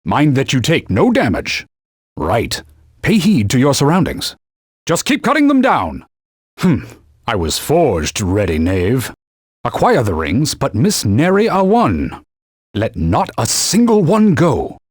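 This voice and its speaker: A man's voice: medium pitch, huge pitch swings, takes it slowly, breathy edge, dry recording, clean studio sound, full character voice. An actor playing a haughty male talking sword, a stern mentor who gives orders and scolds in old-fashioned knightly phrasing, for a video game. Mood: instructive. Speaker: game character.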